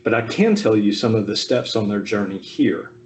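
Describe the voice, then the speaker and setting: Man in his fifties, lowish voice. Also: normal speed, a little hiss or hum. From Southern US. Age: 50s. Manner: relaxed, with frequent ums and self-deprecating asides. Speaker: speaker at a Zoom talk. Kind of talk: personal family history talk. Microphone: video call.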